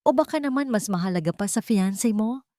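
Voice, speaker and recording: A woman's voice: mid-range voice, animated, fairly quick, mellow, dry recording, studio quality, voice pushed up, close to an everyday voice. A woman voicing a jealous, haughty young fiancée in a radio drama, sneering, possessive and menacing. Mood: sneering contempt.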